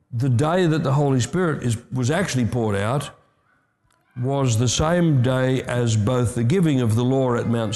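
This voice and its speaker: A man's voice: medium pitch, darkish tone, big-room sound. A man preaching a sermon, explaining scripture with emphatic teaching and readings. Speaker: pastor.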